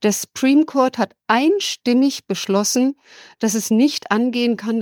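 A woman's voice: medium pitch, a little mellow, dry recording, studio quality. A woman correspondent answering interview questions in an emphatic, opinionated and wry way. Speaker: correspondent in the USA. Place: USA.

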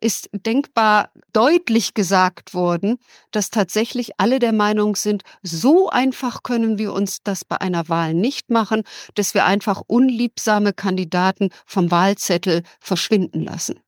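A woman's voice: medium pitch, measured pace, no room sound, studio quality. A woman correspondent answering interview questions in an emphatic, opinionated and wry way. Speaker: correspondent in the USA. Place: USA.

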